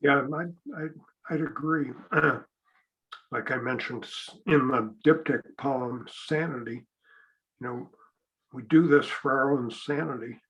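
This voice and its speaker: A male poet speaking in a measured, reflective way on a discussion panel. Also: medium pitch, fairly bright tone, muffled recording. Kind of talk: panel discussion after a reading.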